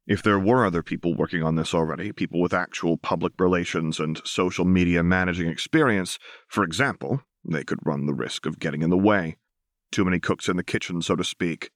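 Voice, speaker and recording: Low-voiced man narrating fiction, close and dry. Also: a little fast, no room sound. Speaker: audiobook narrator. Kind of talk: scripted fiction narration with character dialogue.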